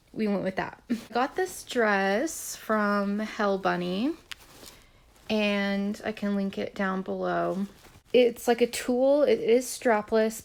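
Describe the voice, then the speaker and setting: A woman's voice: medium pitch, creaky. A young woman chatting casually in a home vlog, a bit nasal as she gets over a cold, rambling with lots of fillers. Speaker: vlogger. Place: small bedroom indoors.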